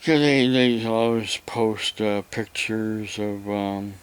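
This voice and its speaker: Guy rambling casually like a vlogger, slow and halting, really clean smooth voice. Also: on the low side. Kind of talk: rambling casual explanatory talk.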